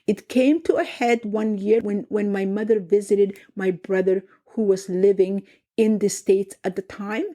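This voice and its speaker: A middle-aged woman from Somalia, narrating her own family memoir in a reflective, unhurried way. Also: medium pitch, steady tone, mellow. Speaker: podcast host and narrator. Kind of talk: personal memoir storytelling.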